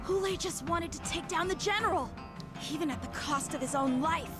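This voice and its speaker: High-pitched earnest young warrior. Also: female voice, fairly quick, over music. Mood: urgent. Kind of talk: dramatic game voice acting. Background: loud game score.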